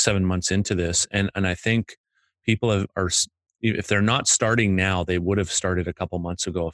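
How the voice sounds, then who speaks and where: A man with a very low voice walks through his ideas step by step on a remote call. He talks methodically, pausing with ums and restarts. The sound is dry and close. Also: a bit bright, no room sound. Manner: methodical, breaks ideas into stages, hesitant fillers. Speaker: podcast co-host. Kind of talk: explaining ideas in casual chat.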